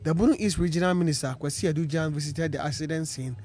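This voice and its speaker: A man's voice: fairly high pitch, fast. Male news reporter voice-over. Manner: steady formal reading.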